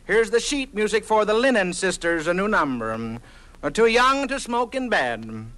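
An actor playing a genial, fumbling polka bandleader in a comedy record parody, making halting, polite stage announcements. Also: male voice, high voice, big pitch swings, bright, forceful tone, full character voice. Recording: old comedy record, music behind.

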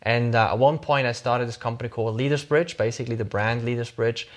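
A middle-aged Swiss man talks as an interview guest, his voice at an ordinary middle pitch. He rambles through his answers, full of fillers, repeated words and quick self-corrections. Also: steady tone. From Switzerland. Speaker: guest, startup CEO.

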